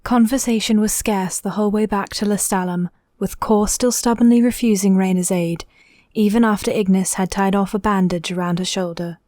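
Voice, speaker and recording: A woman's voice: mid-range voice, fairly even intonation, moderate pace, mellow, no echo, close to an everyday voice. A woman reading scripted narration for an audio drama.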